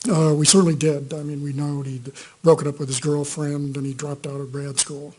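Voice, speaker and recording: Soft-spoken hesitant man, flat and husky. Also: a little high, moderate pace. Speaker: trial witness. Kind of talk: courtroom testimony. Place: courtroom. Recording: courtroom microphone.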